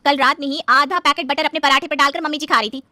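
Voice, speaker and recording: A woman voices a young working daughter-in-law in a household tale. She is pert and outspoken, rattling along very fast in a high, bright voice. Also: steady tone, no room sound. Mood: complaining. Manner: chatty, pert.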